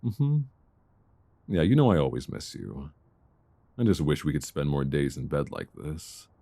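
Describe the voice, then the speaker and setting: A man playing an affectionate, bookish boyfriend in an intimate ASMR roleplay, speaking softly, tenderly and soothingly close to a binaural microphone. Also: very deep voice, big pitch swings, creaky, heavily acted. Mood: tender, wistful. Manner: soft, tender, unhurried, soothing.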